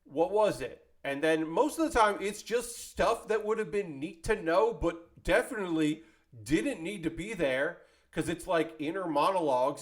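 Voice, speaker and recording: Man, somewhat high pitch, casual commentary, rambling delivery, self-correcting, conversational, hobby show host. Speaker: host of an anime club video show. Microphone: close microphone.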